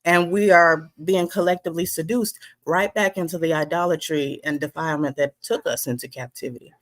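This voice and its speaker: This is a woman with a somewhat low voice, speaking as a guest. She talks in an impassioned way and slips into mocking the voices of others. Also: fairly even intonation, fairly quick. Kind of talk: passionate talk.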